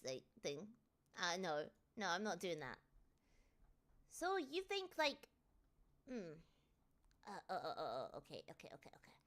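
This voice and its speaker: A woman with a Northern English accent, chatting casually on a live stream and answering viewers, with sudden excited shouts. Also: a little high. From Northern England. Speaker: VTuber streamer.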